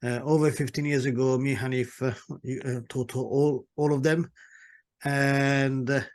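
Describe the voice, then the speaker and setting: A man's voice: medium pitch, little pitch movement, measured pace, darkish tone. Italian-accented man giving a webinar talk. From Italy. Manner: hesitant, many fillers and restarts. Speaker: guest speaker, property solicitor.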